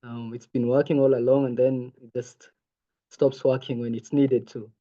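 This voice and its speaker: Young Kenyan man, Maasai first language, mellow voice, earnest presenter, dull call audio. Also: mid-range voice, little pitch movement, moderate pace. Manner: earnest, many ums. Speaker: intern presenter. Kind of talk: online presentation. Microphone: video call. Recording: muffled video call audio.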